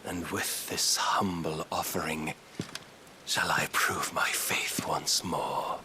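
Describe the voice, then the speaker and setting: An actor playing a fanatical, grandiose villain king who preaches salvation in deliberate, archaic oratory for a fantasy game cutscene. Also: rumbling low, steady tone, bright, forceful tone, a little hiss or hum, heavily acted. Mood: fervent, rapturous.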